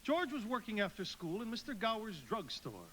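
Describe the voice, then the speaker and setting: A kindly senior angel briefing a junior in a radio drama. His high voice moves animatedly up and down with avuncular authority, over faint noise. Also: very animated, moderate pace, a bit bright, low-quality recording. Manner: instructive, avuncular. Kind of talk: live radio play acting.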